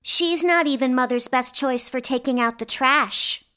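A woman plays a precocious little girl in a comic radio play. She has a high voice and a dry, matter-of-fact way of talking, as if tired of grown-ups. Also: measured pace, pushed and bright, clean tone. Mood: deadpan.

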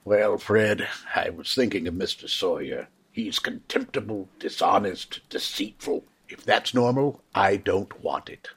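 A man playing a gentle, jolly elderly Santa Claus with a husky voice in a radio play. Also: lowish voice, unhurried, hardly any pauses, heavily acted. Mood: indignant.

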